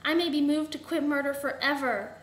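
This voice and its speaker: A woman's voice: high-pitched, brisk, close to an everyday voice. A woman voicing a scheming, bossy, melodramatic female villain in a silly story. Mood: moved. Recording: echoey room.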